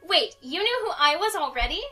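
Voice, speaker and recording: A woman's voice: extremely high pitch, expressive, a little fast, bright, forceful tone, distant mic, faint music behind. A young woman reading a visual novel game aloud in a playful way, mixed with casual banter. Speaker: let's play host.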